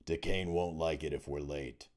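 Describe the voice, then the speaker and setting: A man acts out a scarred brute of a jailer in a fantasy audiobook. The voice is deep and mellow, with a mocking, commanding manner that turns gruff. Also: fairly even intonation, normal speed. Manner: mocking, barking orders. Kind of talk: audiobook character reading.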